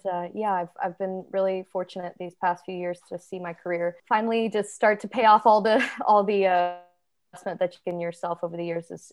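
Woman songwriter guest from Florida, mid-pitched, dry close mic, halting speech. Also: darkish tone, dry recording. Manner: halting, with many restarts and small laughs. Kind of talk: podcast interview.

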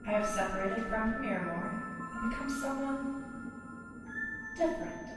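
A woman playing a calm, nurturing alien from a collective mind in a sci-fi audio drama, formal, measured and reassuring, with heavy reverb. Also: a little high. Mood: warm, encouraging. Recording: cavernous long reverb, distant, music underneath.

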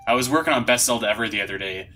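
Male podcast host, very bright forceful voice, upbeat and slangy, casual chat. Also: lowish voice, very fast.

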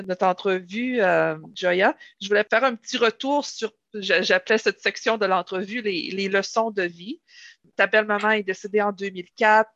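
An interviewer asking questions gently, with some hesitant restarts. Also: medium pitch, no echo. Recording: dry, dull lo-fi sound.

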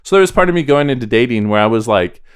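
Guy podcast host, lowish smooth voice, dry close sound, chatty and kinda self deprecating. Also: animated, fast, no room sound. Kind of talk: casual podcast chat.

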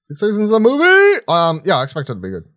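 A man's voice: high-pitched, huge pitch swings, fast, a bit bright, no room sound, studio quality. A man co-hosting a podcast with casual, opinionated banter, informal and slangy in how he talks. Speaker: podcast co-host.

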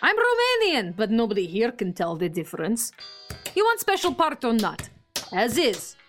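A woman playing a warm, burly parts dealer with an Eastern European accent, pushy and effusive in a sci-fi comedy audio drama. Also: on the high side, wildly swooping pitch, normal speed, faint music behind. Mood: brisk hard sell. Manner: hard-selling, effusive, clipped foreign grammar.

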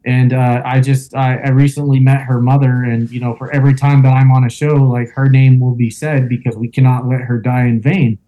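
A man with a loud voice, a guest in an interview, telling a first-person account with fillers like "um" and "you know". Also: medium pitch, flat delivery, a little fast, hardly any pauses, rough recording. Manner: storytelling with fillers and reenacted quotes.

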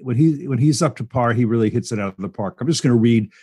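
A man's voice: medium pitch, fast, dark tone, husky. A male radio host interviewing a guest in a folksy, wry manner. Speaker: radio talk show host. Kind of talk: broadcast interview.

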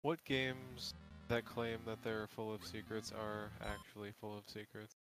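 Deadpan man with a deep voice. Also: a little slow, over music. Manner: dry, understated, deadpan humor. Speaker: podcast host. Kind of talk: casual chat about video games.

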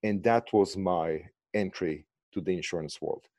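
A man in his forties with an Israeli accent, a guest telling his career story in a podcast interview, animated and restarting his sentences. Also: normal speed, dry recording, studio quality. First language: Hebrew. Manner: animated, restarts sentences, pitch jumps up on stressed words. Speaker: guest, insurtech founder.

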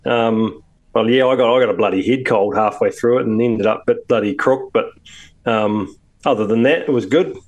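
A man's voice: mid-range voice, normal speed. NZ male podcast co-host, stuffy cold, laid-back and slangy. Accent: New Zealand. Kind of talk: casual chat.

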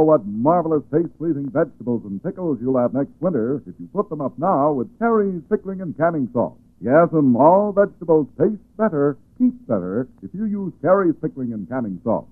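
A tinny old radio recording of a male announcer selling a sponsor's product. His voice is fairly high and animated, polished and emphatic in 1940s style. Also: normal speed, few pauses.

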